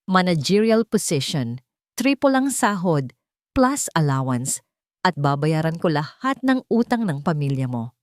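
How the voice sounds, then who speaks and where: A woman's voice: low-pitched, big pitch swings, measured pace, dry recording. A woman narrator plays a cold billionaire man in a romance audio story. He is curt, businesslike and commanding, with desperation underneath, in a dry recording. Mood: blunt bargaining.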